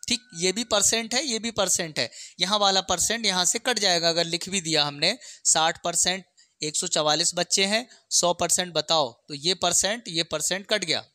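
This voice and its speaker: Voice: medium pitch, normal speed, sharp tone, no echo. A maths teacher explains exam problems step by step, often checking in with short questions.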